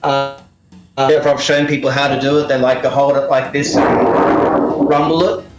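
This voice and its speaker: A man's voice: mid-range voice, flat delivery, unhurried, echoey room, loud music behind the voice, low-quality recording. A man being interviewed as a sound effects maker, casually and hesitantly explaining and demonstrating how he works. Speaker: radio drama maker and sound effects artist, interview guest.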